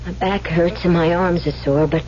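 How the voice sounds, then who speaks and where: A woman's voice: lowish voice, fairly even intonation, moderate pace, darkish tone, lo-fi sound, close to an everyday voice. An actress playing a frightened, shaken young woman in a 1970s radio thriller, on a very noisy old recording. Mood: shaken, subdued. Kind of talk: 1970s radio drama acting.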